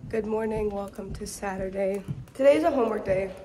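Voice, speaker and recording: A woman's voice: medium pitch, a little fast, background noise. A young woman student with a congested, sick voice, chatting casually to a phone camera held at arm's length in her dorm room and car. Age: young adult. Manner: chatty, self-deprecating, laughs often. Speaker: student vlogger. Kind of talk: casual vlog talk to camera.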